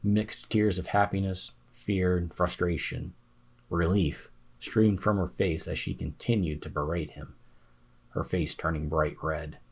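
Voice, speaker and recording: A man's voice: deep voice, steady tone, a little slow, gravelly, husky. A man reading scripted fantasy narration as an audiobook narrator.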